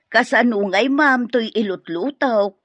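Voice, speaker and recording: An actress playing a deferential but back-talking household maid in a radio drama. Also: female voice, a little high, a little slow, fairly bright tone, no echo. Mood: sheepish, grumbling.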